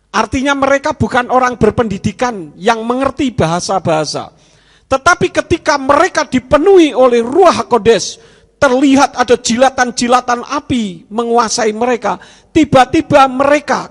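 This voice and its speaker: Man, pastor, high voice, sermon, echoing hall, rising and falling emphasis. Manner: preaching to a congregation, rising and dropping emphasis. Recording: reverberant hall.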